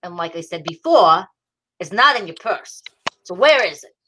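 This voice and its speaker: Woman playing animated hardboiled police detective Mallard. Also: lowish voice, normal speed, slight background noise, poor audio. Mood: exasperated. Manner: hardboiled, teasing. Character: gruff, exasperated, fond police detective Mallard. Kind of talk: old-time radio detective acting, slangy.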